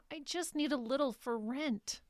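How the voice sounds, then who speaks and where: Hesitant young care aide, slow-paced. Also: female voice, high-pitched, dry recording, studio quality. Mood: reluctant, weary. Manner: tentative, halting. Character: hesitant, polite young female care aide needing work. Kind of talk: naturalistic radio drama acting.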